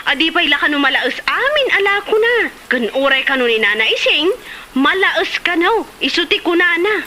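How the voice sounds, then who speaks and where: A woman's voice: very high-pitched, very animated, normal speed, sharp tone, full character voice. A woman playing a talkative, gossipy village neighbour in a comedy drama, speaking with an Ilocano accent. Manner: exclamatory, gossipy. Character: talkative village woman siding with an offended elder. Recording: slight background noise.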